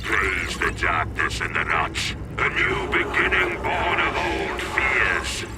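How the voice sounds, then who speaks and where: Slow, gravelly, sinister cult fanatic. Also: male voice, mid-range voice, big pitch swings, loud music behind the voice, heavily acted. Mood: ominous reverence. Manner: slow, ominous, incantatory. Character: fanatical chaos cult preacher, menacing and sinister. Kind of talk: ritual chanting in game trailer. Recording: echoey, distant, very noisy.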